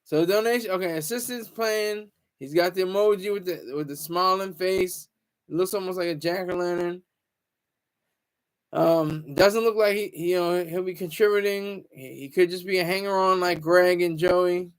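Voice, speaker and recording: Guy with a pretty high voice joking around with chat on a stream, lots of stammers. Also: moderate pace, smooth. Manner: rambling, teasing and joking with viewers; stammers and restarts often. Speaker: livestream host. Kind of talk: casual livestream chat.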